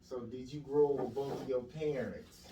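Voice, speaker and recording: A man's voice: medium pitch, steady tone, normal speed, background noise. A man hosting a podcast, asking blunt, offhand questions in slangy talk. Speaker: podcast host. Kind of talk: casual interview chat. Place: echoey podcast room. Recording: echoey room, distant mic.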